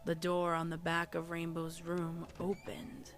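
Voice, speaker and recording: Lowish-voiced woman narrating over loud music. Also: monotone, unhurried. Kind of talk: scripted dramatic story narration.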